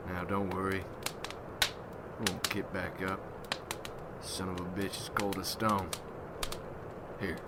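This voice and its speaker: Man acting as a grim vampire hunter, deep voice, folksy slang, ASMR roleplay, noticeable background ambience. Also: flat delivery, very noisy. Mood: grim, matter-of-fact. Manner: folksy rustic slang, unhurried, addressing a newcomer. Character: gruff hardened male vampire hunter, grim, folksy mentor.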